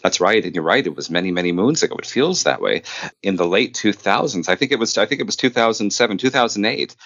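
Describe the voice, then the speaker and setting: A man with a low voice, a guest in a casual interview. He tells stories conversationally, the sound dull and dry. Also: expressive, fast talker, a bit bright, no echo. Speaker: podcast guest, filmmaker. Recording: dull, band-limited call.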